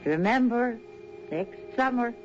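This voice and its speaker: An actress playing a soothing, motherly older woman in a radio horror drama, placating and deliberate, on an old recording. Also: on the high side, expressive, darkish tone, muffled recording, faint music behind.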